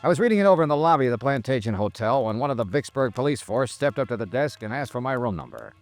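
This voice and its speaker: An actor playing a terse, sardonic hard-boiled insurance investigator in an old-time radio noir drama, with an American accent and a clipped, wry delivery. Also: male voice, mid-range voice, animated, fast talker, no echo, soft background music, lightly acted. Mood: wry narrating. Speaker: detective lead and narrator.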